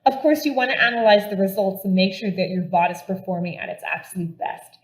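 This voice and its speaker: A woman's voice: mid-range voice, fairly quick, roomy sound. An Irish woman giving an upbeat, step-by-step product demo at a conference. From Ireland. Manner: upbeat and enthusiastic, explaining step by step. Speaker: conference presenter.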